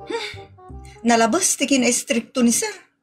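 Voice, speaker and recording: A woman with an Ilocano accent acting in a comedy audio drama, chatty and lively. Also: on the high side, unhurried, soft background music. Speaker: voice actor.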